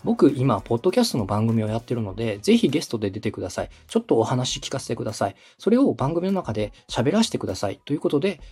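Husky, lively male podcast coach. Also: mid-range voice, quick pace, hardly any pauses. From Osaka, Japan. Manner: polite, instructive. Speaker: podcast host and coach, radio director. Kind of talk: consulting conversation, explaining step by step.

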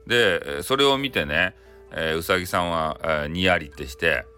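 A man tells a story in an animated, bright voice with a Hakata accent. He is casual and playful, improvising chattily and adding his own sound effects. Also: deep voice, moderate pace, faint music behind. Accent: Hakata Japanese. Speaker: storyteller, audio channel host. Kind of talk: casual improvised folktale telling in dialect.

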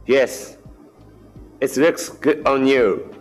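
Man acting a mild, mid-pitched young man. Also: extremely slow, faint music behind. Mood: friendly, gentle. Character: Joe, mild friendly young man asking a date out. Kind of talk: bilingual radio drama acting.

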